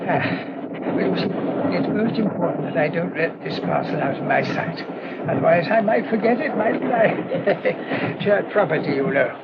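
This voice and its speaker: An actor playing a humble, garrulous parish priest with an English accent, apologetic and wordy, in old-time radio drama style. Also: male voice, sits high, lively intonation, normal speed. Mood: flustered, apologetic. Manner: apologetic, deferential, flustered, wordy. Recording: dull, noisy old radio recording.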